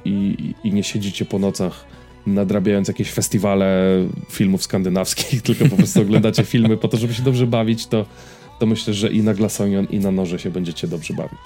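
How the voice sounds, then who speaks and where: A man's voice: on the low side, warm tone. A Polish man co-hosting a podcast, talking casually and explaining things informally to his co-host and listeners. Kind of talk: casual pop-culture podcast chat.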